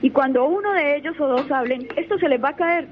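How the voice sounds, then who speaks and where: A woman's voice: high voice, a little fast. A young Colombian woman, a lawyer, talking in an assertive, self-assured way as a guest on a radio interview, sometimes repeating words while she gathers her thoughts. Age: young adult. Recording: muffled radio audio with faint noise.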